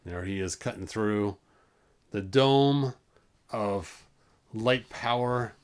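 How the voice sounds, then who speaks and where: A man's voice: fairly low pitch, takes it slowly, clean tone. Man casually reading a storybook aloud. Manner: informal, stumbles over words and breaks off for asides. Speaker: host reading a storybook aloud to online viewers.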